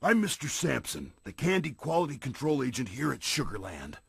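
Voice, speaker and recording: Cartoon game villain: grumbling factory inspector, extremely gravelly, very dry close sound. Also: male voice, medium pitch, sing-song, a little fast, no room sound, exaggerated voice. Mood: polite. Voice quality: gravelly, raspy. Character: shifty adult male candy factory quality inspector, grumbling. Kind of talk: cartoon mystery game acting.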